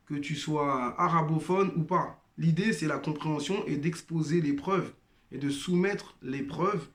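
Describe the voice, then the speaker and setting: A man's voice: a little high, fairly bright tone. Man talking in an echoey room. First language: not Arabic-speaking. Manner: hesitant, many fillers and restarts; addresses listeners as brothers and sisters. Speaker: former member sharing testimony. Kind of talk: personal testimony monologue.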